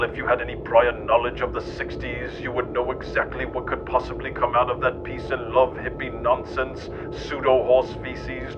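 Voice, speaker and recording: Scornful man ranting over noisy, dull audio. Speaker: narrator. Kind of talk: satirical scripted monologue. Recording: dull, noisy lo-fi recording with rumble.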